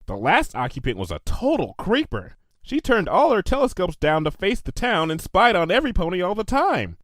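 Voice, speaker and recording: A chatty mare from a pony storybook, played by a man, with a raised pitch and lively intonation. She gossips freely and sounds skeptical, in a dry recording. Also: moderate pace, no echo, full character voice. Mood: gossipy. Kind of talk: fan fiction storybook character voice.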